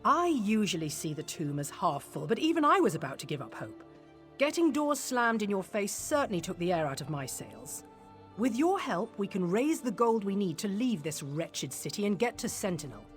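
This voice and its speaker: A woman's voice: medium pitch, sing-song, normal speed, faint music behind, natural voice. A woman playing an upbeat, quippy scholar and dungeon delver in a video game, chatty and self-correcting. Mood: wry, hopeful. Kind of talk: video game character dialogue.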